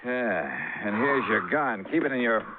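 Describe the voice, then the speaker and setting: An actor playing a plain-spoken American investigator, tough, exasperated yet affable, in an old radio drama. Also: male voice, medium pitch, expressive, measured pace, narrow, tinny audio, noisy background, lightly acted. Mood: indignant. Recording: band-limited vintage radio recording.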